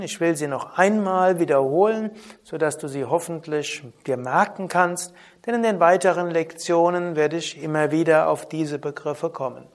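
A man teaching a spoken lesson on yoga philosophy in a calm, measured way, often asking a soft "hm?". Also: a little high. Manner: calm, measured, with frequent soft trailing drops and questioning "hm?". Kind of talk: spoken lesson on Vedanta terms.

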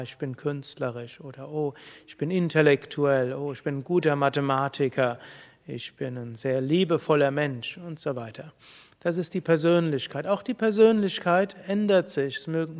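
A man giving a calm spiritual talk to a live audience, speaking in a measured way with pauses and thoughtful hums. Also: fairly high pitch, long reverb tail. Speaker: spiritual teacher.

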